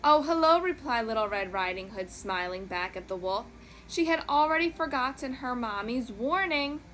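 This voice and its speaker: A woman storyteller reading a children's tale in an expressive, dramatic, emphatic style. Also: a little high, normal speed, slight background noise, poor audio.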